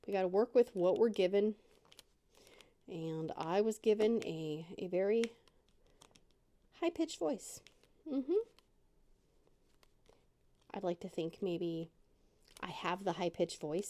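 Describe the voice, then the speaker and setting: A woman's voice: on the low side, animated, slow, long pauses, warm tone. A middle-aged woman chatting casually at her dining room table while crafting, rambling and poking fun at herself. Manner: rambling, self-deprecating, chatty. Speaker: crafting channel host.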